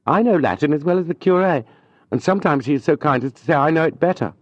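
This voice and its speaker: A man's voice: fairly high pitch, expressive, quick pace, no echo, dull audio, voice pushed up. A man voicing a poor, shy young tutor who speaks earnestly and falters at times. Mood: proud.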